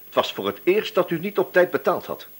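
Casting: relentless, crafty radio-play inspector, husky, swooping delivery, shifting from feigned chattiness to accusation. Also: male voice, high voice, very animated, fairly quick, heavily acted. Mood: accusing, firm. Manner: probing, hesitant feints turning accusatory. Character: wily, relentless male police inspector, feigning casual chat. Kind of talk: radio drama dialogue.

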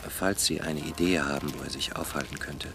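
An actor playing a polite, formal young police inspector in a radio play. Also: male voice, very deep voice, brisk, gravelly, noisy background. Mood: polite, restrained. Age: young adult. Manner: courteous, formal.

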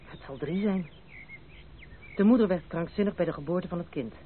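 A woman's voice: fairly low pitch, moderate pace, natural voice. An actress playing a proud, troubled young woman in love, earnest and dramatic, in a Dutch radio play. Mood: guilt-ridden, bitter. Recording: narrow, noisy old radio play recording.

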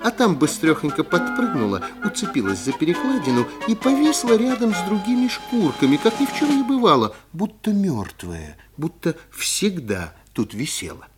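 A man narrating an animal fairy tale in an expressive, scripted storytelling style. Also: high voice, moderate pace, hardly any pauses, music in the background. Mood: vivid storytelling.

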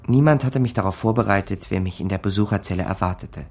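A man's voice: lowish voice, quick pace, a little mellow. An actor playing a wry small-time con man who tells his own story in a radio drama, dry and matter-of-fact. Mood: moved. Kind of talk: scripted first-person radio drama narration.

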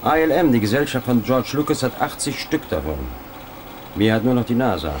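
An actor playing a precise professor of digital image manipulation, speaking in a lecturing, matter-of-fact way. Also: male voice, medium pitch, sing-song, fairly quick, natural voice.

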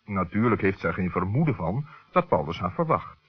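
A man narrating a children's radio play in a scripted storytelling style, with a husky voice. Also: deep voice, very animated, moderate pace, full character voice. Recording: dull lo-fi old radio recording.

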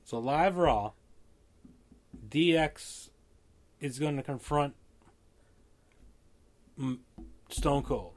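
A man with an everyday mid-pitched voice rambles through a casual chat. He thinks out loud, circling back on his points as he goes. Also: animated, very slow, pauses often. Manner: rambling, thinking aloud with fillers and restarts.

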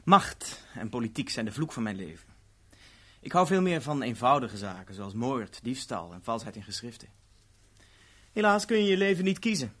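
A man's voice: medium pitch, very animated, moderate pace, a bit bright, breathy edge. A man acting in a 1970s Dutch radio play as an urbane, wry agent who delivers threats politely and matter-of-factly. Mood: suave, menacing. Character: urbane, coolly menacing male agent of a ruthless organisation.

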